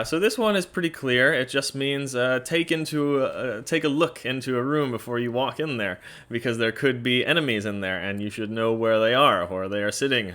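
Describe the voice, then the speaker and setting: A man's voice: mid-range voice, bright, forceful tone. A man with a Norwegian accent explaining in a casual, chatty way, blunt and often laughing, with many fillers. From Norway. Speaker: educator presenting a series.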